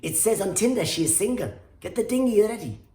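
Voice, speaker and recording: A man's voice: high-pitched, sing-song, a little fast, warm tone, roomy sound. A male stand-up comedian, born in Iran with Farsi as his first language, delivering a sarcastic rant that keeps slipping into silly put-on voices.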